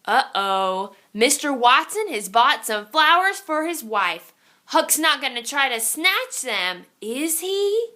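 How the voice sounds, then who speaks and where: A woman's voice: high voice, big pitch swings, takes it slowly, bright, forceful tone. A woman reading a children's picture book aloud in a theatrical, suspenseful way, drawing out her exclamations. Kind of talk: animated children's story read-aloud.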